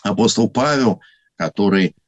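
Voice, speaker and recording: A man with a husky voice giving an unscripted talk on church history, thoughtful and full of hesitations. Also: on the low side, animated, normal speed, dry recording, dull audio.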